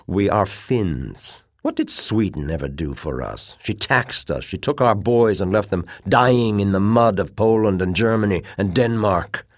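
Man acting a fervent, scornful military aristocrat, emphatically raised pitch. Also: no echo, studio quality. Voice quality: ringing, heated delivery. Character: fervent, bitter, scornful Finnish officer, an aristocrat. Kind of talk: dramatized audiobook dialogue.

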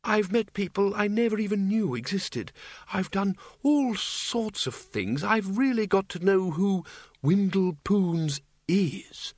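A man's voice: high-pitched, lively intonation, slow, darkish tone, no room sound, pitched above the performer's own voice, heavily acted. A man voicing a frail old undead man, hesitant, querulous but kindly, as an audiobook character. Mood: wistful.

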